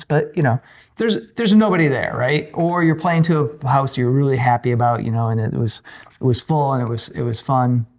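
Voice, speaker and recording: Male podcast guest, about 60, informal and halting, telling stories in a loose conversational style. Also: medium pitch, a little mellow. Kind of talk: casual interview chat, personal anecdotes.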